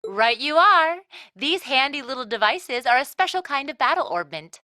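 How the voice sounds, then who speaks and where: A woman's voice: high voice, sing-song, normal speed, sharp tone, no echo, studio quality, full character voice. A woman playing a breezy, teasing academy instructor in a video game, explaining in a chirpy, casual way. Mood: breezy, upbeat. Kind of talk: English video game voice acting.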